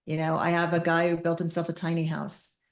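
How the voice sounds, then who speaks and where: A woman's voice: lowish voice, monotone, a little fast. A woman speaking as an expert guest in an interview, explaining things in a candid, informal way. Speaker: guest, psychiatric clinician.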